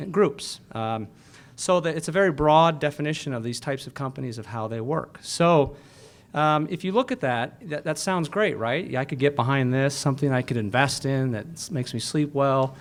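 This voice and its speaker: Smooth-voiced man giving a business pitch. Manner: explanatory, asks rhetorical questions. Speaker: financial planner, guest presenter. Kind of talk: short business pitch to an audience.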